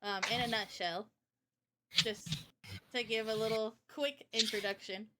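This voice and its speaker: A woman's voice: a little high, steady tone, measured pace, heavy background noise. A young woman presenting casually on a livestream, chatty, informal and enthusiastic, like a developer showing off a project.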